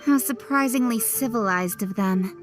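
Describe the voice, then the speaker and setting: Woman as proud young female official, fairly high voice, formal, crisp, blunt, self-assured, video game acting, music underneath. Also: normal speed, lightly acted. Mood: scornful, candid.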